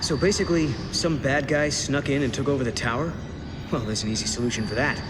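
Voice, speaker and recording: A man's voice: medium pitch, fairly even intonation, fast, background noise, natural voice. A man playing a brash, blunt young adventurer in a video game, talking casually and a little impatiently. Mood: casual, confident. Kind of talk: dramatic video game acting. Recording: echoey hall, loud music behind. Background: loud music.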